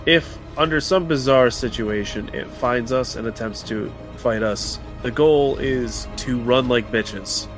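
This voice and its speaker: A man's voice: mid-range voice, unhurried, few pauses, soft background music. A man chatting casually as a tabletop game player, wry and mock-formal with blunt swearing.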